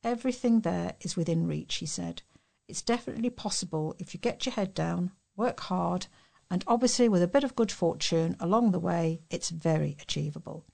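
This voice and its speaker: A woman's voice: on the low side, expressive, normal speed, vocal fry, darkish tone. A woman reading local news articles aloud as a volunteer reader.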